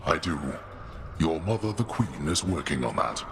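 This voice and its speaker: An actor playing a stern, imperious middle-aged god of the underworld in a video game, formal and commanding, over music. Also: male voice, a bass voice, sing-song, moderate pace, gravelly, noisy background, full character voice. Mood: gruff, formal.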